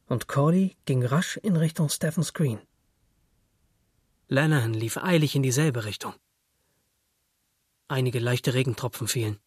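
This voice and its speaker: A man reading scripted literary narration as a storyteller, with a husky voice. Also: mid-range voice, a little mellow, no echo, studio quality.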